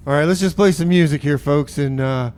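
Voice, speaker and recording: A man's voice: fairly high pitch, a little fast, a little mellow, clear, resonant tone. A man hosting a community radio show from the studio, reading announcements and chatting in a laid-back way, calling his listeners folks. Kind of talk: casual radio banter and announcements.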